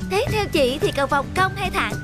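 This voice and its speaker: A cheerful cartoon goldfish played by a woman, high and bright, always encouraging a friend. The tone is warm-hearted and reassuring. Music runs clearly underneath. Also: expressive, a little fast. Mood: comforting. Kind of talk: cartoon voice acting.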